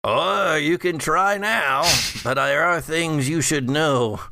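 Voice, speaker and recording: A man playing an undead elderly patriarch in a tabletop horror game, rambling and halting, wry and weary. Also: a little high, vocal fry, bright, forceful tone, no room sound, clean studio sound, pitched above the performer's own voice, heavily acted. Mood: taunting, weary. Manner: halting, rambling storyteller with musing asides.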